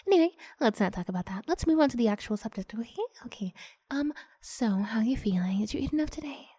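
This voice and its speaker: Woman playing a breathy, coaxing young vampire girl. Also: on the high side, lively intonation, no room sound. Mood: playful, menacing. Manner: hushed, halting, often slipping into breathy whisper. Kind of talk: ASMR roleplay, improvised.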